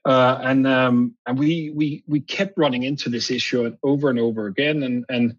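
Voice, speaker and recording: A man's voice: medium pitch, fairly even intonation, normal speed, slightly rough, highs cut off. A man with a Danish accent, a guest on a podcast interview, explaining at length and often restarting his sentences. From Denmark. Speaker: guest, company founder.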